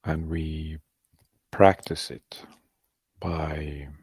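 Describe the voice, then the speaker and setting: A man leading a guided meditation, speaking softly and calmly in short, halting phrases with long pauses. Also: a bass voice, slightly rough, dry recording, clean studio sound.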